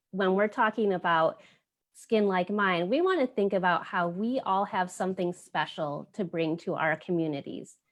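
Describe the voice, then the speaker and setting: A woman hosting a virtual children's story time, explaining things in simple, child-friendly language. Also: medium pitch.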